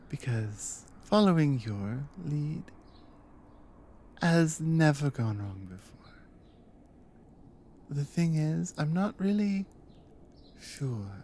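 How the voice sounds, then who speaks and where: Woman playing a low, slow, tender warrior wife. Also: a little hiss or hum, exaggerated voice. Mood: tender, curious. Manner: soft, breathy, hushed murmur with unhurried pauses. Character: devoted dominant Nordic warrior wife, tender and teasing adventurer. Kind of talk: intimate ASMR roleplay audio.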